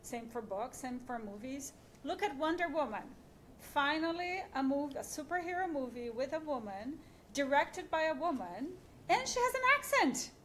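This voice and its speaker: A woman's voice: high voice, big pitch swings, moderate pace, fairly bright tone, slight background noise. A woman on a discussion panel speaking earnestly and persuasively, urging listeners to act.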